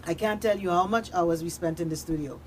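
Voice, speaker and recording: A woman's voice: lowish voice, brisk. A woman speaking earnestly and conversationally as a guest in a discussion, repeating phrases for emphasis. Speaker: radio drama producer, studio guest. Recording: noticeable background noise, room ambience.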